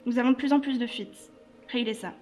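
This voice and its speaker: Woman acting a high-voiced, stern intelligence chief. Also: steady tone, a little fast, darkish tone, soft background music, lightly acted. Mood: irritated, commanding. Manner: authoritative, brusque. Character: stern, impatient female intelligence chief under pressure. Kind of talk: French audio fiction dialogue.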